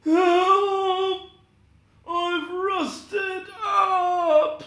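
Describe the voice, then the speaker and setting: A storyteller voicing a gentle male tin man in a storybook, asking slowly and plaintively in a high voice. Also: level tone, echoey room, full character voice. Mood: distressed.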